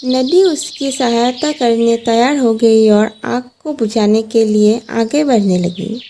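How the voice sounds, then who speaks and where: A woman's voice: fairly high pitch, takes it slowly, mellow, a little hiss or hum. A woman reading scripted narration for a children's moral story.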